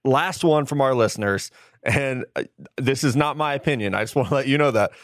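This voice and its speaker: A man hosting a podcast interview, talking in a relaxed, chatty way with quick asides. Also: medium pitch, no room sound. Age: adult, older than a college player. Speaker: podcast host. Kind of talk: casual interview chat.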